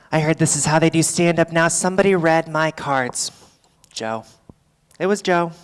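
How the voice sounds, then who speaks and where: A performer playing a boozy, world-weary middle-aged female comic, doing a rambling, deadpan stand-up monologue live on a theatre stage. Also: medium pitch, clear, resonant tone, big-room sound, lightly acted. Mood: wry, teasing. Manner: rambling, deadpan, theatrical, swinging between asides and outbursts.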